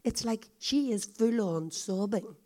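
Croaky-voiced woman telling a story. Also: medium pitch, lively intonation, unhurried, darkish tone. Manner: chatty, confiding storyteller. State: hoarse, coughing. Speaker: visiting guest speaker. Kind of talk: storytelling talk to a congregation.

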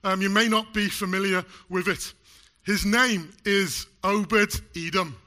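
A man's voice: sits high, steady tone, a little slow, bright, forceful tone. A man preaching a sermon in a church, chatty and jokey, still getting over a chest cold. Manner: chatty, jokey, loose with fillers. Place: church hall.

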